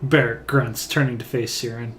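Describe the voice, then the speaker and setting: A man's voice: mid-range voice, fairly even intonation, normal speed, soft background music. A man casually reading a game's dialogue and narration aloud, often hesitating as he reads. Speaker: game let's player reading dialogue aloud.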